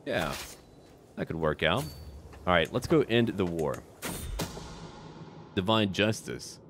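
A man's voice: low-pitched, expressive, slow, slight background noise. A man doing casual let's play commentary on a strategy game, musing to himself and addressing the characters in short remarks. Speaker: gaming video creator playing a strategy game.

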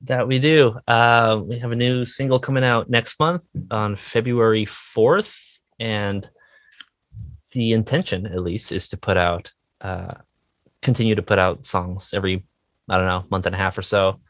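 Low-voiced man chatting, hesitant and self-deprecating. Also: unhurried, fairly bright tone, dry recording. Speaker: musician guest, indie rock songwriter. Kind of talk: casual interview chat.

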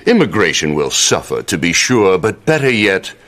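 An actor playing a scheming middle-aged royal advisor in a campy video game cutscene, mock-polite and gloating with a theatrical drawl. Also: male voice, sits low, very animated, normal speed, a bit bright. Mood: smug.

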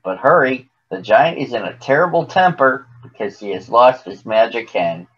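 A man's voice: medium pitch, lively intonation, moderate pace, a bit bright, husky, lo-fi sound. A man voicing a giant's bossy, practical wife in a storybook, firm and scolding. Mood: cautioning.

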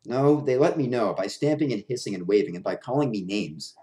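A man's voice: mid-range voice, lively intonation, a little fast, dark tone, natural voice. A man voicing a gloomy, worried hobo clown who sounds downcast and doubting, in a storybook reading. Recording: roomy echo of a bare room.

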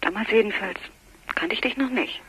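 A woman's voice: medium pitch, moderate pace, fairly bright tone, a little hiss or hum, natural voice, poor audio. An actress playing a worried, frank woman talking familiarly to her partner in a radio crime play. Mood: concerned. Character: worried, frank female dentist, detective's partner. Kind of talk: German radio drama dialogue.

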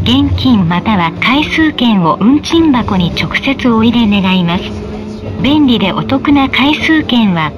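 A woman with a fairly high voice reads formal stop announcements. She is polite and measured, enunciating each phrase carefully. The recording is made inside a vehicle, with clear tram rumble behind her. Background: tram running noise.

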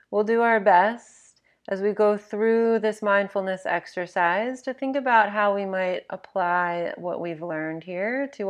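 A woman leads a guided bedtime meditation at a very slow pace. Her voice sits a little low, and she gives each instruction gently and with measured care. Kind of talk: guided sleep meditation narration.

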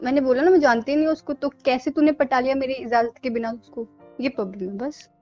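A woman's voice: high voice, steady tone, a little fast, a little mellow, close to an everyday voice. A young woman playing a jealous, defensive best friend with snappy, sulky denials in a phone-call drama. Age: young adult. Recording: muffled lo-fi audio under music.

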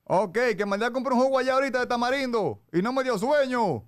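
A man's voice: very high voice, lively intonation, quick pace, no room sound. A young Dominican man hosting a radio show, teasing and insistent in comic phone-prank banter, on a close studio microphone. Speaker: radio show host making a prank call. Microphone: close broadcast microphone with headset.

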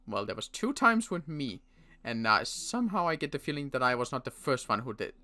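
A man playing a wary adult survivor in an improvised game roleplay, talking in a wry, halting way with frequent restarts. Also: mid-range voice, very animated, bright, forceful tone. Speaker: roleplay player.

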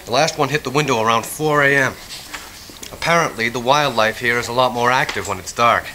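A man's voice: mid-range voice, fairly quick, bright, forceful tone, a little hiss or hum, close to an everyday voice, low-quality recording. A man acting in an audio drama as a practical, calculating survivor, methodical, matter-of-fact and wry. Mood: calculating, urgent. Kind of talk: naturalistic radio drama acting.

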